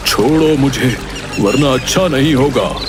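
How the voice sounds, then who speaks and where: Man playing a slow, menacing mysterious boatman. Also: medium pitch, music in the background, natural voice. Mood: pleading, confessing. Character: menacing mysterious boatman posing as a sea ghost. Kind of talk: dramatized Hindi storytelling.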